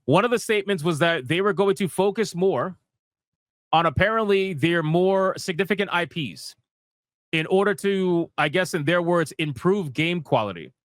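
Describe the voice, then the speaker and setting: A man's voice: sits high, normal speed, dry recording, clean studio sound. A man giving casual, sweary, slangy commentary as a livestream host, emphatic and mocking, repeating phrases for effect. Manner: sarcastic, mocking. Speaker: host of a gaming news livestream.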